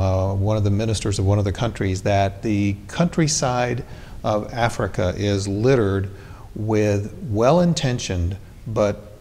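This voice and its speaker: A man hosting a television interview, asking measured, inquisitive questions. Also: darkish tone. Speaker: TV interviewer, host. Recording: roomy reverb with faint rumble.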